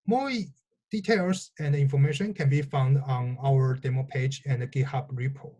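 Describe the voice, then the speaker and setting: A man presenting research in a scripted technical talk, reading phrase by phrase in a halting way. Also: medium pitch, dark tone.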